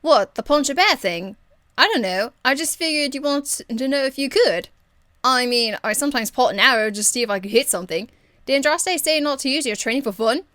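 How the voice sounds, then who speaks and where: A woman voicing a cheeky, irreverent young female rogue archer in an amateur game voice demo, blunt, slangy and excitable. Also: high voice, brisk, no echo, clean studio sound, voice pushed up. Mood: playful, blunt.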